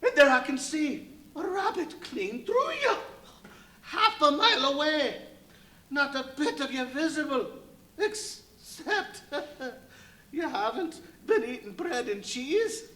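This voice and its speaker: A nervous, folksy tramp, very high-voiced and bright, with lively swoops. He is flustered and eager to please in an echoey live radio play. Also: slow pace, heavily acted. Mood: amazed. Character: jittery, superstitious rustic tramp, eager to please. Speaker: stage radio play actor. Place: live performance at a brewery venue. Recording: echoey live stage recording.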